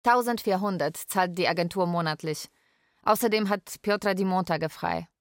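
A woman playing a carer who narrates a daily routine in a radio drama, measured and matter-of-fact. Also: fairly low pitch, no room sound, studio quality, lightly acted.

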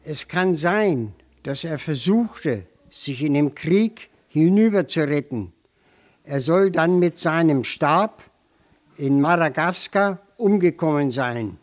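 A man's voice: on the high side, lively intonation, slow pace. A man reading a scripted chronicle as narration.

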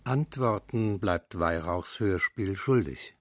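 Dry husky male narrator. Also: low-pitched, takes it slowly, no echo. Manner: crisp, clipped listing. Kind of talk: scripted radio documentary narration.

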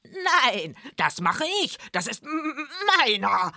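A blustering horned goat-man who stammers and bleats his words, as a woman puts him on. The tone is bright and strained, with a breathy edge and a dry close sound. He is a rowdy storybook Christmas figure. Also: a little high, big pitch swings, slow, no room sound, heavily acted. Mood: indignant.

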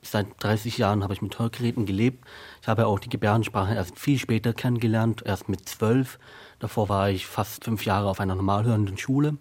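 A deaf actor in his thirties whose first language is sign language, answering a radio interview slowly and carefully. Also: fairly low pitch, steady tone, darkish tone. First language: German Sign Language.

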